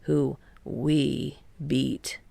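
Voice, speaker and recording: A woman voicing a dry, aloof, sardonic teenage boy in an audiobook, with an unbothered deadpan delivery. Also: very deep voice, drawn-out words, creaky, dry recording, voice pushed down, heavily acted. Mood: dismissive. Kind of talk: audiobook character voice.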